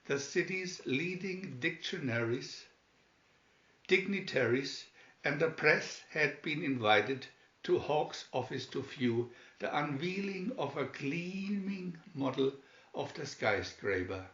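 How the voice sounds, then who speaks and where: A man's voice: mid-range voice, a bit bright. A man reading a children's booklet aloud as scripted story narration. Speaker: booklet reader. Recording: dull, roomy home recording.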